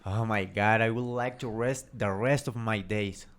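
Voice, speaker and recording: A man's voice: fairly low pitch, steady tone, slow pace. A man playing a weary father who grumbles sleepily in a radio drama. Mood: drowsy, grumbling. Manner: sleepy, complaining.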